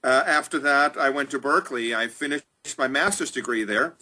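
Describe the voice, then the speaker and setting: A man's voice: mid-range voice, a little fast, bright, forceful tone. A middle-aged man from Germany, a guest on a video-call interview, talking in an upbeat, chatty way with many ums. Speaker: executive director of a chamber of commerce, guest. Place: indoors, small room.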